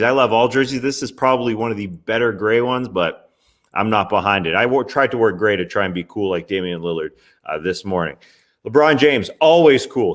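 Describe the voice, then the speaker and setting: A young man hosting a basketball recap show, talking in a casual, chatty way with wry asides. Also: medium pitch. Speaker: sports podcast host. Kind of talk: NBA recap commentary.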